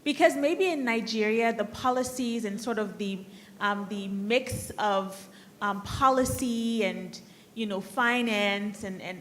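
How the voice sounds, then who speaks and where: A woman speaking informally from the floor at a conference, candid and wry, in a halting, discursive way. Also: mid-range voice. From Liberia, grew up in the US. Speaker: audience member, central bank staffer. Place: conference hall, audience floor. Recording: noisy conference room microphone.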